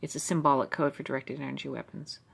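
A woman's voice: extremely low pitch, fairly even intonation, quick pace, gravelly, husky. A middle-aged woman from the United States talks through her old journal pages in a rambling, musing way, full of fillers and asides. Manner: musing, stream of consciousness, many fillers. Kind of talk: rambling personal commentary.

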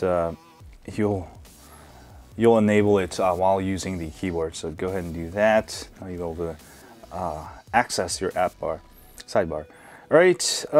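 Man, deep-ish voice, casual filler-heavy phone review talk, indoors at a desk, faint music bed. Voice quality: relaxed, with many "uh" fillers. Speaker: tech video host.

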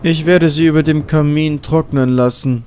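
A man's voice: on the high side, steady tone, normal speed, a little mellow, a little hiss or hum, voice pushed up, close to an everyday voice. A man voicing a huge, curt and formal castle butler in a horror audiobook. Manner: formal, terse.

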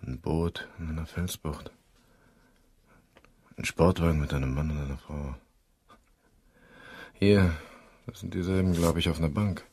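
Blunt, very low, husky inspector. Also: male voice, fairly even intonation, a little slow, pauses often, darkish tone, heavily acted. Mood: curious. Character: blunt, inquisitive male police inspector. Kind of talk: German crime radio play acting.

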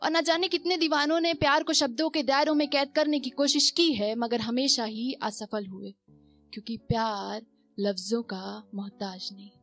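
A young woman recites spoken word poetry into a stand mic on a small stage, her voice high. She repeats lines for drama, lifts toward questions and slows into soft refrains. Faint music plays under her. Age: young adult. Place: small stage in a performance venue.

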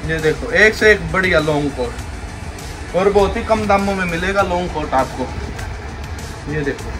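A man's voice: high-pitched, animated, unhurried, music in the background. Young man with a pushy sales patter. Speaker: wholesale clothing seller. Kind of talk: sales pitch video. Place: large indoor clothing warehouse.

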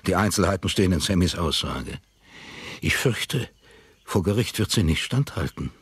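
Deep, husky, grim tycoon. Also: male voice, lively intonation, normal speed, fairly bright tone, no echo, exaggerated voice. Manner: grave, terse.

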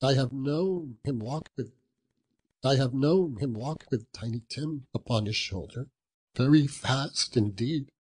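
A man's voice: medium pitch, unhurried, darkish tone, slightly rough, voice pushed up. A man reading a storybook voices an earnest young son of a poor family.

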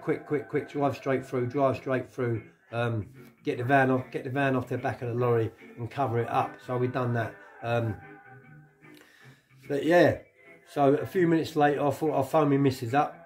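A man's voice: medium pitch, darkish tone. A middle-aged man with a London accent telling a casual anecdote to camera, colloquial and sweary, often repeating his phrases. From England. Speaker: vlogger telling stories of his past. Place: living room at home.

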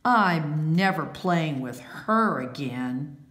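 A woman's voice: sits low, expressive, slow pace, fairly bright tone, heavily acted. Woman reading as a boastful young boy, roomy echo. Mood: sulky. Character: boastful, taunting young boy who is a bad sport. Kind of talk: children's picture-book read-aloud.

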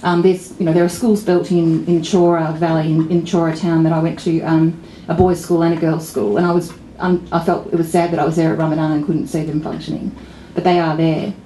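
A woman's voice: deep voice, level tone, fairly quick, few pauses, warm tone. A middle-aged Australian woman, a political journalist giving a talk at a pub event, thoughtful and wry, with many fillers and restarts, speaking into a stand mic. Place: pub function room with audience. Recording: roomy venue, faint ambient noise.

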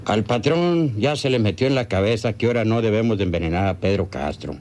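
An actor playing a scheming, stubborn hacienda henchman with a rural Mexican accent, deliberate and emphatic in a radio drama. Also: fairly low pitch, lively intonation, a little mellow, a little hiss or hum, close to an everyday voice. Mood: grimly insistent. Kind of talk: radionovela acting.

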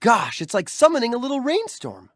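An actor playing a cheerful, street-smart young hero who became a prince, speaking friendly, playful video game lines. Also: male voice, very high voice, huge pitch swings, brisk, fairly bright tone, no echo, clean studio sound, exaggerated voice. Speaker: game character voice clips.

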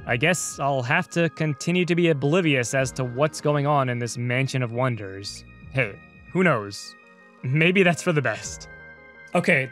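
A man's voice: a little high, fairly bright tone. A man from the United States narrating a scripted video game retrospective in a conversational, enthusiastic storytelling way. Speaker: video game reviewer and host. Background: music behind the voice.

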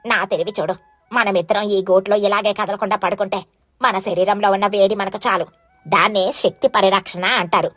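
A woman's voice: medium pitch, steady tone, moderate pace, fairly bright tone, no echo, soft background music, pitched below the performer's own voice, natural voice. A woman voicing a lazy, grumpy, scornful mother crow in a children's cartoon story, grumbling and scolding. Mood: irritated, lazy. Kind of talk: animated children's moral story.

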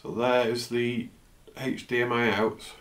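A man in his fifties, croaky from a flu, chatting casually through an unboxing in his home office, recorded on a camera's built-in mic. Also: medium pitch, a little slow, a little hiss or hum. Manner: relaxed, chatty, trails off. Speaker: tech vlogger. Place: home office, indoors, seated at a desk.

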